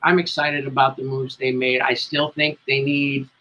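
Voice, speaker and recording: A man's voice: medium pitch, level tone, moderate pace, pushed and bright. A middle-aged man with an American accent chatting casually as an interview guest, talkative and self-deprecating over a video call. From Connecticut, United States. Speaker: guest, tribute band singer. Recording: dull lo-fi audio.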